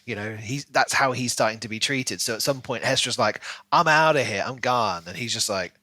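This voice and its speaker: A man's voice: mid-range voice, moderate pace, sharp tone, no echo. A man co-hosting a podcast, talking casually through a book and acting out imagined bits of dialogue as he explains. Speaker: podcast co-host.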